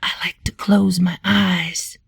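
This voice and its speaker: A woman voicing a gentle, courteous blind man in a storybook, with a folksy manner. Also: fairly low pitch, little pitch movement, slow, creaky, husky, dry recording, studio quality, exaggerated voice. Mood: hushed.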